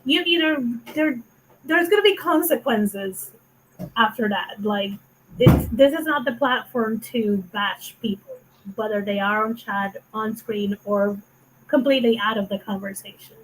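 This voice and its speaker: A woman's voice: a little high, a little slow, a little hiss or hum, low-quality recording. A woman with a Puerto Rican accent co-hosting a casual online chat, earnest and thoughtful, sometimes searching for words, on a video call. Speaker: co-host.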